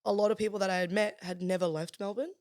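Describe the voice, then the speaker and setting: A young woman co-hosting a podcast, chatting in an animated, casual way. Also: mid-range voice, fast talker, no echo, studio quality. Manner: chatty, animated, many "like" fillers. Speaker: podcast co-host.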